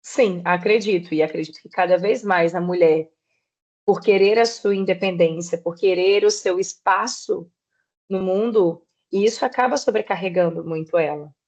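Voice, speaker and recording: A woman in her thirties from southeastern Brazil, a podcast guest explaining her work in a measured way. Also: lowish voice, darkish tone, lo-fi sound. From Espírito Santo, Brazil. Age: 30s. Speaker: guest, personal trainer. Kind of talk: podcast interview.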